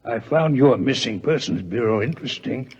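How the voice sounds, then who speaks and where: An actor playing a courteous, dignified alien scientist in a 1950s radio drama, speaking with stiff, pedantic formality and a husky voice. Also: male voice, mid-range voice, animated, normal speed, a little mellow, lightly acted. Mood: politely curious. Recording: muffled vintage radio recording.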